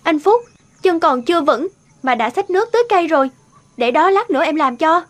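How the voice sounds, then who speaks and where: A woman playing a devoted, gentle young country wife in a cartoon, speaking in a soft, caring way. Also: very high-pitched, lively intonation, a little fast. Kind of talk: animated moral tale dubbing.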